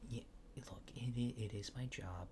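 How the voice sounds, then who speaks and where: A man doing a softly spoken, often whispered ASMR roleplay as a mild-mannered alien space agent, murmuring hesitantly and casually. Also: medium pitch, normal speed, slight background noise. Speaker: ASMR creator playing an alien abductor.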